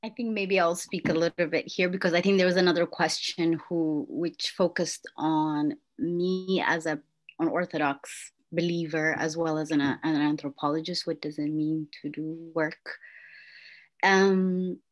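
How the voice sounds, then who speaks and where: A young adult woman from Ethiopia, an anthropologist speaking on a video-call panel in a measured, thoughtful way with some restarts. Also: low-pitched, steady tone. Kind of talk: academic panel talk. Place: small room at home. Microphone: laptop video call.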